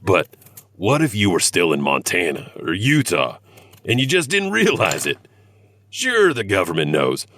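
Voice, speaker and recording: A man's voice: on the low side, pushed and bright, dry recording. A man hosting a storytelling podcast, narrating scripted material in a wry, conspiratorial way. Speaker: host and narrator of a horror anthology podcast.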